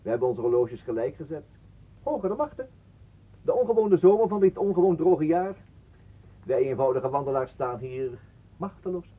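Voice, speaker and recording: An actor playing a talkative, mock-polite wanderer with florid, absurd philosophy in a radio play. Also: male voice, fairly high pitch, big pitch swings, normal speed, pauses often. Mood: mock-courteous. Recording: muffled, narrow old radio recording.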